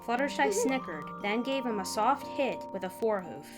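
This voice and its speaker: A woman's voice: on the high side, animated, normal speed, music in the background. A woman speaking as narrator and host of a fan fiction reading. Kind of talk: story narration and casual outro chat.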